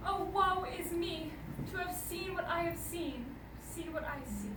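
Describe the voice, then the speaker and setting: A grieving young noblewoman, played by a woman on stage, laments slowly in Shakespearean verse. Her very high voice comes from a distance in an echoey, noisy space. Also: steady tone. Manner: slow, lamenting. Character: heartbroken young noblewoman mourning her beloved's madness. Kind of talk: theatrical Shakespeare monologue. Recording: distant mic, echoey, clearly noisy ambience.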